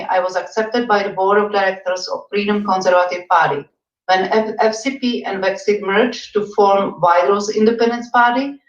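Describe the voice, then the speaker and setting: A woman from Czechoslovakia, reading a firm, formal campaign statement. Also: medium pitch. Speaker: party regional director candidate. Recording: echoey, dull sound.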